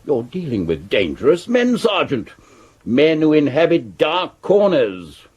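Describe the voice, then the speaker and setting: An actor playing a brusque, pompous Victorian police commissioner with an upper-class English accent in a radio drama. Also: male voice, a little high, wildly swooping pitch, a little slow, a little mellow, breathy edge, muffled recording, exaggerated voice. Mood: brisk command. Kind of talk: 1970s radio drama acting.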